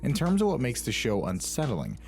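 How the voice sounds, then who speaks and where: A man's voice: mid-range voice, huge pitch swings, brisk, gravelly, a little mellow. A man delivering scripted documentary narration for a video, with music in the background.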